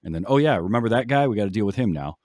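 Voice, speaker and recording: A man with a fairly low voice hosts a casual podcast about films. He explains in a measured, halting manner, recorded close and dry with little room sound. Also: animated, darkish tone, no echo. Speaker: podcast host. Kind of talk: casual film discussion.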